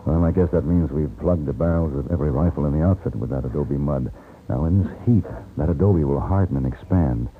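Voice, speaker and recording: A man's voice: very deep voice, fairly quick. An actor playing a shrewd, persuasive American gunfighter in an old radio western, assured and wry as he acts and narrates. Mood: brisk. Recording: tinny, noisy old radio recording.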